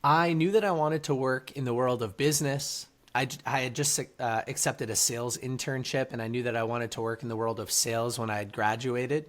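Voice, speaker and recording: Guy guest on a podcast, medium pitch, earnest motivational storytelling, casual chat. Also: steady tone, fairly quick, hardly any pauses, a bit bright. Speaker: guest, author and entrepreneur.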